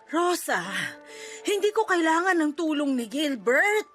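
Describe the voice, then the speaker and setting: A woman's voice: extremely high pitch, expressive, unhurried, a bit bright, faint music behind, full character voice. A woman playing a proud, stubborn, sick single mother in a radio drama. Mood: wary, indignant. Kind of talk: Tagalog radio drama acting.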